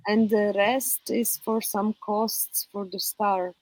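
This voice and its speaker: A woman's voice: medium pitch, little pitch movement, takes it slowly, darkish tone, no room sound, low-quality recording. A woman in a group call, checking details with short questions.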